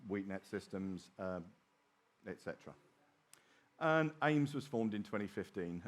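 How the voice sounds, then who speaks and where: A middle-aged man giving a technical conference presentation in an informal way with wry asides. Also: fairly low pitch. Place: small open presentation theatre at a trade show.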